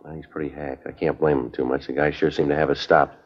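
A terse American police detective from a 1950s radio drama, very low in pitch and quick in pace. He narrates in a clipped deadpan. The old recording is muffled. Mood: dry, attentive. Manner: deadpan, clipped, matter-of-fact. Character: terse, businesslike male police detective narrating his case.

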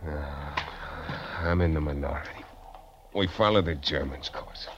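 An actor playing a tough, suspicious sailor with a blunt, slangy, hard-boiled manner in an old-time radio drama, on a noisy recording. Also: male voice, a bass voice, measured pace, lo-fi sound. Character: tough, suspicious working-class sailor taking command.

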